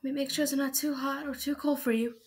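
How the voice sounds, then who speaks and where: A woman voicing a caring, motherly young woman in a comfort roleplay. She speaks softly and hushed, drifting into whispers and often repeating words. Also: sits high, steady tone, fast, natural voice. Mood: gentle, tender. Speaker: ASMR roleplay performer.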